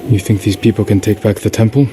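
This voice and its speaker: An actor playing a stoic samurai lord in a game cutscene, speaking in grave, terse, measured phrases. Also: male voice, lowish voice, steady tone, lightly acted. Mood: guarded, doubting. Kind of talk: dramatic game cutscene acting. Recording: loud noise behind the voice.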